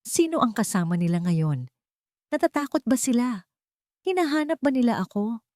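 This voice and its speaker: A woman narrating a scripted first-person dramatic story in measured, short phrases. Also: a little high, big pitch swings, darkish tone, no echo, studio quality.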